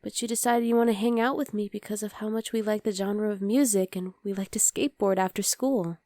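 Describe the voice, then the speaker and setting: A woman playing a young demon girlfriend in an ASMR roleplay, sweet but exasperated and rebellious, speaking intimately and softly to the listener as her partner. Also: mid-range voice, fairly even intonation, fairly quick, no echo, studio quality, natural voice. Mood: wistful, confiding. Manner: intimate, soft, often dropping to a whisper.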